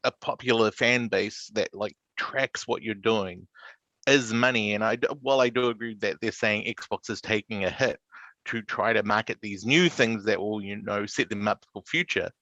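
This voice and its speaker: Bright, lowish New man, slightly dull recording. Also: moderate pace, dry recording. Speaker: podcast panellist. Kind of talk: casual podcast discussion.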